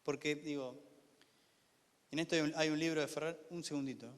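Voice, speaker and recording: A man with a Buenos Aires accent gives an informal class lecture, haltingly, repeating words and posing rhetorical questions to his listeners. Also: a little high, fairly even intonation. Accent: Argentine, Buenos Aires area. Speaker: lecturer, university official teaching a class. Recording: echoey hall with long reverb.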